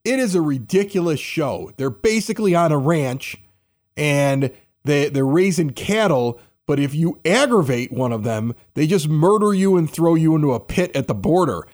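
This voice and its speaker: A man's voice: fairly high pitch, expressive, moderate pace, a little mellow. A man hosting a local talk show, joking and rambling animatedly while he interviews a guest. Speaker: host. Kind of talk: casual interview chat.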